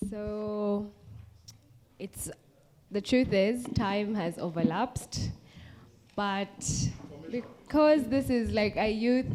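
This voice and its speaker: A woman's voice: medium pitch, extremely slow, pauses often, a little mellow. A woman moderating a panel, speaking while wrapping up in a hurry.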